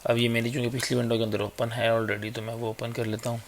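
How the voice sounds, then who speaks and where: A man with a sore, hoarse throat giving a casual, chatty screen-recorded web design tutorial on a basic mic. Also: medium pitch, level tone, fast talker, slight background noise. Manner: casual, chatty, fills gaps with "okay" and "theek hai". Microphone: basic mic, a better one on order.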